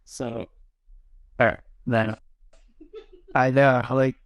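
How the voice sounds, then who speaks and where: A man's voice: medium pitch, drawn-out words, dry recording. A man hosting a podcast interview, asking loose, casual questions and giving short affirmations. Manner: relaxed, brief affirmations, questions in loose phrasing.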